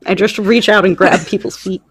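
A woman's voice: medium pitch, steady tone, fairly quick, slightly rough, dry recording. A woman co-hosting a casual podcast in a playful, joking manner. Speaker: podcast co-host, youth services librarian. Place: remote video call recording.

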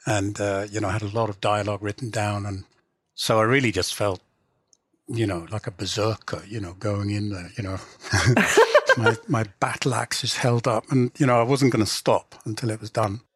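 A middle-aged man with an English accent talks in a husky, slightly rough voice. He is reflective and hedges often with "you know", as a writer being interviewed would. The sound is dry, with hardly any room in it. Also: fairly low pitch, fairly even intonation, normal speed, fairly bright tone, dry recording. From England. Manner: reflective, hedging, frequent 'you know'. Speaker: guest, writer and literary translator. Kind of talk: conversational interview.

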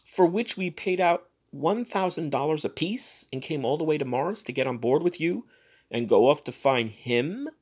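A man voicing a forceful, indignant elderly woman with shrill, accusing exclamations in a dramatic story reading. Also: animated, moderate pace, slightly rough. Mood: appalled.

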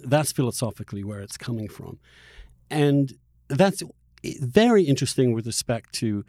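A man hosting a discussion podcast, talking through political arguments in a thoughtful, halting way and often repeating words mid-sentence. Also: medium pitch, darkish tone, dry recording, clean studio sound. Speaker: podcast host interviewing a guest.

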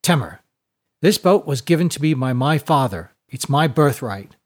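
A man's voice: medium pitch, animated, moderate pace, natural voice. A man voicing an argumentative, aggrieved village fisherman in an audiobook, insistent and complaining.

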